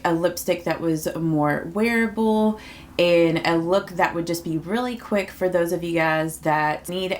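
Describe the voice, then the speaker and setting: Chatty woman vlogger, low-pitched voice. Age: 30s. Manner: chatty, upbeat, lots of fillers. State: slightly raspy morning voice. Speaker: beauty vlogger. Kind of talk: casual get-ready-with-me chat.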